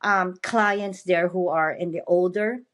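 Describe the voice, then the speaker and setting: A woman's voice: lowish voice, steady tone, measured pace. A woman from the Philippines, hosting a podcast interview in a chatty, upbeat way. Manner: chatty and upbeat, often asks "you know what I mean". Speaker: podcast host and motivational speaker. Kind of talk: casual interview chat.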